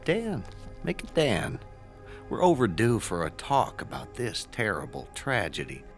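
Actor as low-voiced, slow, smarmy young male counselor. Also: huge pitch swings, soft background music, heavily acted. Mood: overfriendly. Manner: hesitant, ingratiating, halting with ums. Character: nervous, smarmy late-twenties male school guidance counselor. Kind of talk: audio drama acting.

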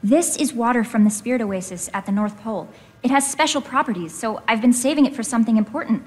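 A woman's voice: a little high, fast, darkish tone, natural voice. A woman playing a passionate, caring teenage girl in a live script reading. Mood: gentle, hopeful. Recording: echoey hall.

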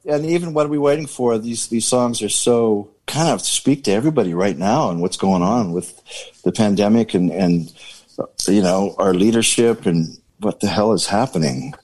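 A man's voice: on the low side, moderate pace, darkish tone. A man in a band interview, talking casually and rambling, repeating words as he searches for what to say. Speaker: band member.

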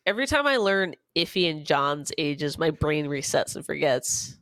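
A woman's voice: deep voice, big pitch swings, moderate pace, fairly bright tone, soft background music. A woman in her twenties, a podcast guest, talking bluntly, laughing easily and swearing freely. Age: 20s. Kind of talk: casual chat.

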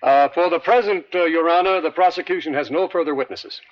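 An actor playing an insistent district attorney in an old radio drama, questioning in a deliberate, theatrical way. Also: male voice, on the high side, slight background noise, natural voice. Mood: firm, formal. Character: probing male district attorney, insistent and pointed. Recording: dull lo-fi vintage radio.

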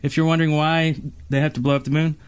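A man's voice: fairly high pitch, flat delivery, quick pace, clear, resonant tone. A man hosting a podcast in a casual, conversational style. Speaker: podcast host. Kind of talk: casual chat.

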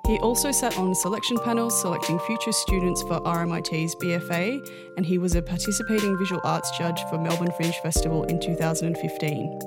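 A woman host reads a guest introduction in a radio studio with a crisp, formal delivery. Her voice sits on the low side, and loud music plays under her. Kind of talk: reading a guest introduction, then interview chat.

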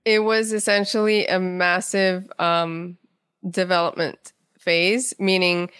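A woman's voice: on the low side, level tone, takes it slowly, a bit bright, smooth, no room sound. Chatty woman writer with a dry, close sound. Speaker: guest playwright and writer. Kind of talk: casual interview conversation.

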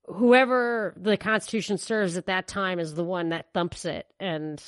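A woman's voice: on the low side, fairly even intonation, measured pace, no echo. Emphatic American woman, dry close sound. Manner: opinionated, emphatic. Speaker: podcast co-host. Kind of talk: casual political commentary.

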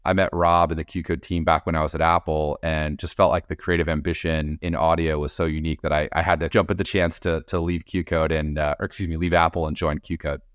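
Male interview guest, middle-aged executive type, very low register, smooth tone, measured explanations. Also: level tone, no echo, studio quality. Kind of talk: conversational interview answers.

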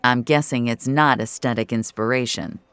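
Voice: mid-range voice, steady tone, moderate pace, a bit bright, clear, resonant tone, dry recording. A narrator reading first-person audio fiction in a wry, colloquial way.

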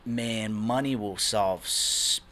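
A young man from Brazil, a real estate investor, talking as a guest in a relaxed podcast conversation, peppering his talk with "man" and "you know". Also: lowish voice, slow, a crowd in the background. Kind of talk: casual interview talk.

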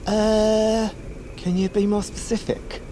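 An actress playing a slow-witted, eager henchman to a villain, hesitant and earnest, in an audio drama. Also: mid-range voice, flat delivery, warm tone, a little hiss or hum, natural voice, poor audio. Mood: dim, impressed.

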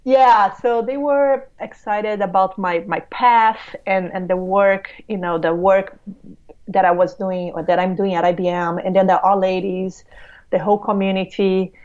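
Woman, Brazilian accent, native Portuguese speaker, excited, restarts often, slightly muffled audio. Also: mid-range voice. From Latin America. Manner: warm, excited, halting restarts. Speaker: podcast guest. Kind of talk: conversational interview.

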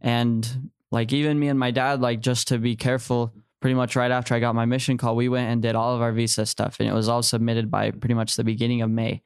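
A man's voice: medium pitch, flat delivery, fast talker, hardly any pauses, smooth, no room sound, studio quality. A man in his early twenties telling personal stories as a guest in a podcast interview. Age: early 20s. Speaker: podcast guest, returned missionary.

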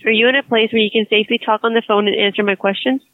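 A woman's voice: a little high, steady tone, rapid-fire, dry recording. A woman survey caller reading polite scripted questions over the phone. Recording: tinny dry phone line.

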